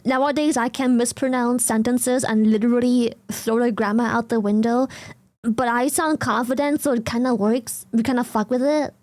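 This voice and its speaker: German-accented woman streamer, high voice, blunt and giggly, casual chat on a dry mic. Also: fairly even intonation, normal speed, a little mellow, no room sound. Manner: laughs often, swears casually, blunt.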